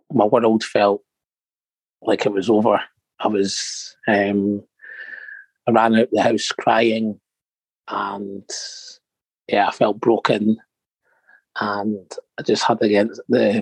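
Slow, gravelly man in his thirties. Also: fairly low pitch, level tone, no echo, rough recording. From born in Morocco, raised in Scotland. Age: 30s. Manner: soft-spoken, hesitant with many ums. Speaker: interview guest. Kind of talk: personal interview.

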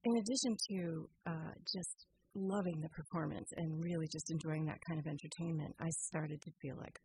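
A woman's voice: low-pitched, fairly even intonation, normal speed, darkish tone, a little hiss or hum, poor audio. A middle-aged American woman chatting casually as an interview guest, recounting her own experiences. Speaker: radio theater performer and guest.

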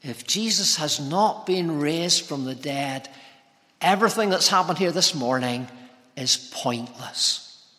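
A man preaches in a forceful, bright voice pitched a little high for a male speaker. He delivers his sermon in measured, deliberate phrases with pauses between them. The long reverb of a big church hall trails his words. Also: lively intonation. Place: large church interior, preaching to congregation.

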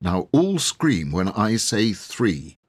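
A man voicing a bold, bossy boy who leads his siblings in a storybook, commanding, deliberate and emphatic. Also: fairly low pitch, big pitch swings, husky, exaggerated voice. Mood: planning.

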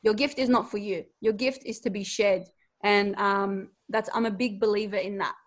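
A woman's voice: mid-range voice, fairly even intonation, normal speed. A young adult woman with an Australian accent, telling her life story as a podcast guest in an earnest, heartfelt way with many ums and restarts. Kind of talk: casual interview storytelling.

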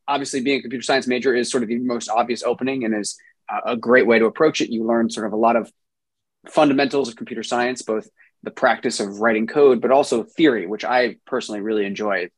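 A man from New Jersey, a software engineer answering interview questions in a relaxed way, with many ums and restarts. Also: medium pitch, breathy edge, no room sound. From New Jersey, USA.

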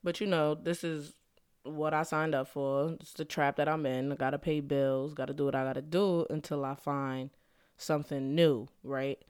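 A young woman hosts a laid-back solo podcast, sliding into slang and breaking into laughs. Her voice is smooth but a little stuffy from a cold. It is recorded close and dry, with no room sound. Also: deep voice, level tone, normal speed, a little mellow, dry recording. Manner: laughs often, slangy and upbeat. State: slightly congested. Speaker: podcast host; school counselor. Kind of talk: casual solo podcast monologue.

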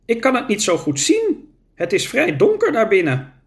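A man's voice: very high voice, huge pitch swings, normal speed, voice pushed up, heavily acted. A man reading a storybook and voicing a small, nimble, eager young male climber.

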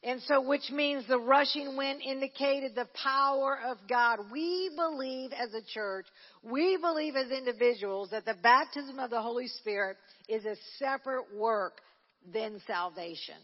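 A woman's voice: sits high, measured pace, lo-fi sound. Woman preaching in an echoing church hall. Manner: conversational, with frequent restarts, rising to fervent preaching. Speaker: church minister leading a prayer service. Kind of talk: Pentecostal sermon. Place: church sanctuary.

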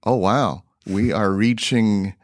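A middle-aged man with a Filipino accent telling stories as an interview guest, conversationally and a little haltingly as he searches for words. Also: lowish voice, no room sound, clean studio sound. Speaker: guest missionary broadcaster.

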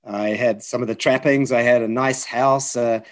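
An older man with a mixed South African and New Zealand accent, telling personal stories reflectively as an interview guest, in a halting, hesitant way. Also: mid-range voice, steady tone. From South Africa, later New Zealand. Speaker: podcast guest, physician and chaplain.

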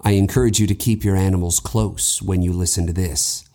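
A man's voice: low-pitched, fairly even intonation, a little fast, mellow. A man hosting a podcast, introducing a horror story in a conversational style. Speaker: podcast host.

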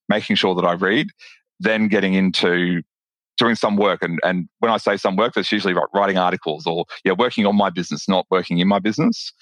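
A low-pitched Australian man chats as a podcast guest with an eager tone. He trips over his words and restarts often. The room sounds dry, with no echo. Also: a bit bright. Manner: enthusiastic, with frequent restarts and repeated words. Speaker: podcast guest, lawyer and business owner. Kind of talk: casual interview chat.

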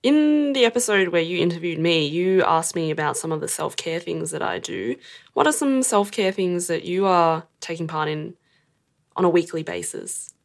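A woman's voice: fairly low pitch, animated, moderate pace, vocal fry. A woman interviewing a podcast host in a playful, teasing, casual way.

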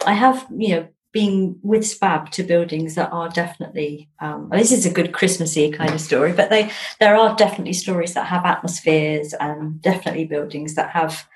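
A woman chatting casually as an interview guest, telling anecdotes in an animated, whimsical way. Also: fairly low pitch, brisk, echoey room, a little hiss or hum. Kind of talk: jolly casual chat and anecdotes.

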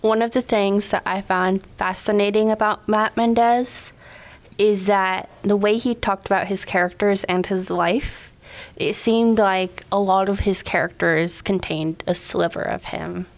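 A woman's voice: mid-range voice, steady tone, unhurried. A young woman in her teens, reading statements and discussing as a podcast panelist. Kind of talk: scripted reading and hesitant discussion.

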